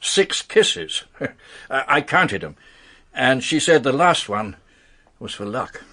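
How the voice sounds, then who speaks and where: An actor playing a lovestruck British gentleman knight in a radio drama, husky and hesitant as he speaks earnestly. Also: mid-range voice, big pitch swings, exaggerated voice. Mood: earnest, defensive. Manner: hesitant, halting.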